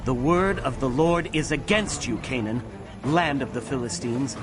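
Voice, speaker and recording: An actor playing a grave prophet proclaiming coming doom, narrating dramatized scripture with solemn, emphatic delivery. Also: male voice, medium pitch, moderate pace, music in the background, close to an everyday voice. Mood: grave proclamation.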